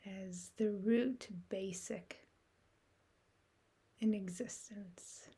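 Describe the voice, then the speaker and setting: A woman leading a guided meditation in a soft, soothing way, with measured phrasing and long pauses. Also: mid-range voice, steady tone, slightly rough.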